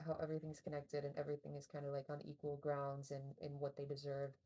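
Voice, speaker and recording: A woman co-hosting a casual podcast chat, sharing thoughtful opinions in a relaxed, hedging way. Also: deep voice, quick pace. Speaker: podcast co-host.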